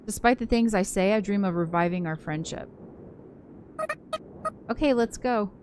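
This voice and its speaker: A woman's voice: medium pitch, normal speed, a little mellow, a little hiss or hum. A woman co-hosting a game playthrough, reading visual novel narration aloud and adding casual commentary. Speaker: let's play co-host, narrator. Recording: music playing behind the voice. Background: game soundtrack music.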